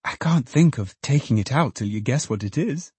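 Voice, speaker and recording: A man's voice: medium pitch, lively intonation, moderate pace, warm tone, breathy edge, no room sound, voice pushed up. A man voicing a cheerful young woman, an affectionate, teasing daughter in a storybook, with playful exclamations. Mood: delighted, teasing.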